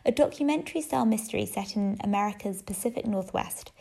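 A woman's voice: medium pitch, quick pace, vocal fry, dark tone. A woman hosting a podcast, reading a scripted announcement that introduces a show to listeners.

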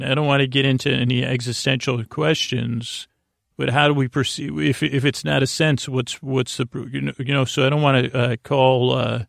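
Guy rambling on a bedtime podcast, gravelly creaky voice, keeps trailing off, really dry close recording. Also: medium pitch, a bit bright, dry recording. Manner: meandering, halting, trailing off mid-thought. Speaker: podcast host.

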